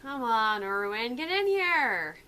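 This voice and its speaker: A perky, girlish tank classmate from a visual novel, put on by a woman. She is eager and a little bashful, with a fairly high, bright voice and lively pitch. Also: slow, clear, resonant tone, exaggerated voice. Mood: eager, calling out. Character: eager girlish tank character, shy but teasing classmate.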